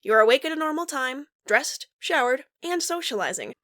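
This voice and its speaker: Woman, story narrator, slightly high pitch, scripted fiction reading. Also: animated, normal speed, bright, forceful tone.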